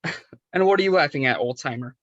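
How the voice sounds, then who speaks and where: A man's voice: fairly high pitch, quick pace, fairly bright tone, no echo, highs cut off. Man playing a chatty bar regular, dry close sound. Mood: teasing. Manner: chatty, casual. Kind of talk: film script table read.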